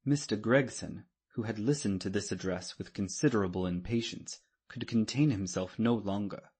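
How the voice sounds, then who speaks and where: A man narrating a classic story in a measured, formal, scripted manner with a dramatic literary style. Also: on the low side, darkish tone, breathy edge. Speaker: audiobook narrator.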